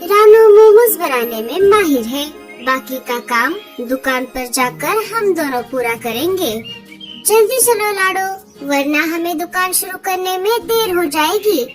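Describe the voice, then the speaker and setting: Woman voicing a high, bright-hearted cartoon mother bird. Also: animated, unhurried. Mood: cheerful, brisk. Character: cheerful, polite mother bird running a momo stall. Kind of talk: Hindi cartoon voice acting. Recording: loud children's music behind the voice.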